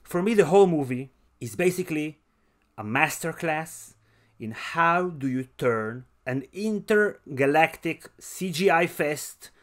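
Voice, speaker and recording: A man's voice: fairly high pitch, animated, a little slow, a bit bright. A man hosting a casual podcast movie review, talking in an emphatic, enthusiastic way. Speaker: podcast host.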